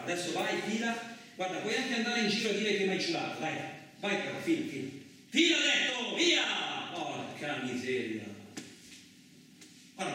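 A man's voice: high voice, pushed and bright, exaggerated voice. A man playing a boastful, hot-tempered truck driver, in a colloquial theatrical radio monologue full of muttered asides. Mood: angry, exasperated. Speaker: actor. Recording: very echoey, distant, lightly noisy room.

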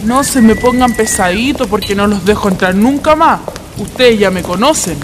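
A woman's voice: medium pitch, normal speed, background noise, natural voice. An actress playing a bossy Chilean woman who runs a tavern in a radio drama, curt and scolding. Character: bossy woman tavern keeper shooing out drinkers.

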